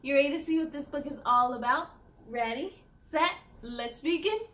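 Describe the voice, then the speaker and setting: A woman hosting a children's story time, reading a picture book aloud in an expressive, sing-song way and chatting to young listeners. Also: high-pitched, normal speed, slight background noise.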